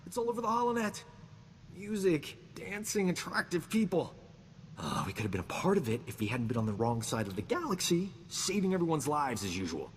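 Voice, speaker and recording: A man's voice: fairly high pitch, big pitch swings, a little fast, long reverb tail, slight background noise. An actor playing a cocky, womanizing human doctor who boasts and wisecracks in a video game. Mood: indignant. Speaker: companion character. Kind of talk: video game dialogue acting.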